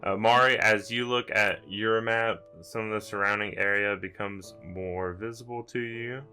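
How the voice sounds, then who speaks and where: A man's voice: on the low side, steady tone, normal speed, bright, forceful tone, faint music behind. A man narrating a tabletop roleplaying game as game master, explaining things in an improvised way.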